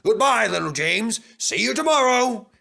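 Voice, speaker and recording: A man's voice: high voice, animated, moderate pace, creaky, bright, forceful tone, voice pushed up, heavily acted. A man voicing a proud, boastful male express steam engine in a children's story, with a pompous, declaiming manner. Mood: showing off.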